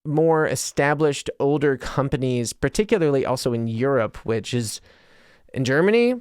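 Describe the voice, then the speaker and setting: Smooth-voiced American podcast host, a man. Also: mid-range voice, expressive, moderate pace, a little mellow, no room sound, studio quality. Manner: self-interrupting, trails into tangents. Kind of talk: casual, rambling solo podcast talk.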